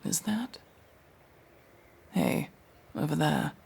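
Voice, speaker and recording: A woman playing a gruff, guarded, world-weary elf in a fantasy ASMR roleplay, curt and bossy with hushed delivery. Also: sits low, animated, extremely slow, heavily acted. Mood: hushed, urgent. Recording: faint background noise with ambience.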